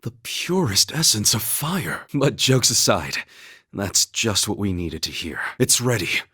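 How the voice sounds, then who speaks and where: An actor playing an earnest, steadfast young warrior-knight in a video game, speaking in a solemn, measured, formal manner. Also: male voice, lowish voice, sing-song, bright, forceful tone, husky, no echo, clean studio sound, exaggerated voice. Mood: grave, explanatory. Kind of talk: dramatic English video game voice acting.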